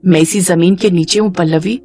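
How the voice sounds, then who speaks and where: Woman as a calm, reassuring female ghost. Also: fairly low pitch, normal speed, soft background music, lightly acted.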